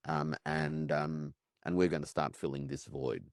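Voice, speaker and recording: This man has an extremely deep voice, recorded dry and close with no room sound. He speaks as a reflective interview guest, thinking aloud and pausing on "um" as he goes. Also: little pitch movement, no room sound. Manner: thoughtful, reflective, with filler "um". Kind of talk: conversational interview analysis.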